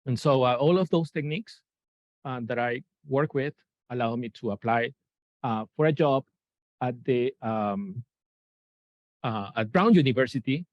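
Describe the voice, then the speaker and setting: A man's voice: medium pitch, a little slow, pauses often, dry recording. A man, giving an informal science lecture, hesitant with many fillers and self-corrections. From Peru. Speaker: lecturer, NASA chemist. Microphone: room mic at a lecture, Zoom shared. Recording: dull, dry lecture recording.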